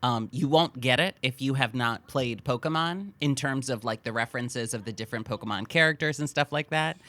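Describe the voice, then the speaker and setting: A man's voice: medium pitch, brisk, pushed and bright, no echo. A man co-hosting a podcast, chatting casually and enthusiastically about movies. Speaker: podcast co-host.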